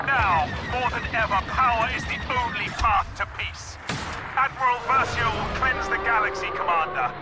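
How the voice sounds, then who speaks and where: High-pitched, imperious space admiral. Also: male voice, moderate pace, over a noisy crowd. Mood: imperious, grave. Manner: commanding, pompous. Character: imperious, ruthless Imperial admiral, man, demanding obedience. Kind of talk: video game acting. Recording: loud orchestral music and engine noise behind. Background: loud music, spacecraft sounds.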